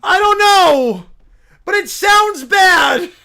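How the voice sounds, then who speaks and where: A performer voicing a bratty, bossy cartoon child who makes indignant, melodramatic shouted declarations. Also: very high-pitched, animated, drawn-out words, vocal fry, voice pushed up, full character voice. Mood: bossy, loud.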